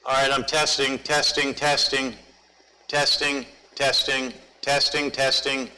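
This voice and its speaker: A man with a loud, projecting voice reads psalms aloud into a pulpit mic while testing a church's sound system. His tone is bright and sharp, cutting clearly through each line. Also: mid-range voice, flat delivery, slow, poor audio. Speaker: scripture reader testing church microphones. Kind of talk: reading psalms aloud during a sound check. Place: church sanctuary. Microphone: pulpit and lapel mics.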